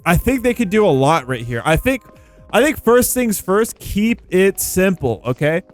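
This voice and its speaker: Higher-pitched man doing casual gameplay commentary. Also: sing-song, normal speed. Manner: informal, thinking out loud. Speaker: gaming video creator. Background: background music.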